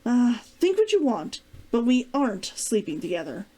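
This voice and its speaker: A woman's voice: fairly high pitch, sing-song, normal speed, warm tone, low-quality recording. A woman reading aloud as a proud, stubborn woman fighter in a storybook, defensive and curt. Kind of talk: storybook character dialogue read aloud.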